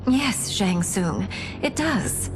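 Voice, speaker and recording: A young warrior princess from a video game, regal and resolute. Her delivery is composed and assertive, with formal diction at a medium pitch. Clear cinematic music sits behind the voice. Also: expressive, very slow. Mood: coolly composed. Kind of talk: video game cutscene acting.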